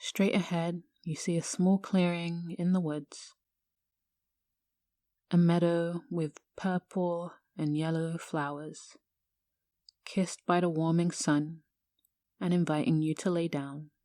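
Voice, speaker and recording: A woman guiding a meditation in a hushed, soothing, measured manner with long pauses. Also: lowish voice, level tone, no echo, studio quality. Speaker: yoga teacher guiding a meditation. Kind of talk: guided meditation narration.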